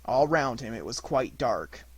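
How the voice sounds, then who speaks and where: A man reading a children's storybook as an audiobook narrator, in a dramatic, deliberate manner. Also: medium pitch, sing-song, slight background noise.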